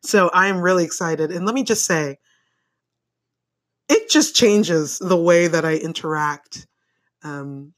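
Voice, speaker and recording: Raspy, sassy woman podcast host. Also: a little slow, pauses often. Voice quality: deep, raspy. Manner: sassy, swears freely, laughs often. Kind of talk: casual solo podcast monologue. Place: home bedroom. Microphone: microphone on a stand, held in hand.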